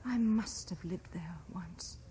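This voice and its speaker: A woman's voice: fairly low pitch, slow, dark tone. An actress with a British accent playing a wistful, dreamy young married Frenchwoman in a 1970s radio drama. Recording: vintage radio recording with faint hiss.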